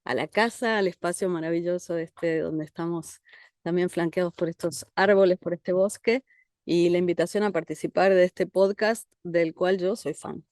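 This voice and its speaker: Calm Argentine woman, dry close sound. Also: on the low side, moderate pace, no room sound. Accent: Argentine Spanish. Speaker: guest therapist. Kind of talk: conversational interview. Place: country house outdoors among trees.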